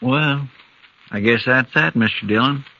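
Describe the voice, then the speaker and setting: An actor playing a loyal, plain-spoken deputy helper in a vintage radio western. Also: male voice, medium pitch, unhurried, bright, forceful tone, slight background noise. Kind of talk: 1950s radio drama acting. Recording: dull lo-fi vintage radio recording.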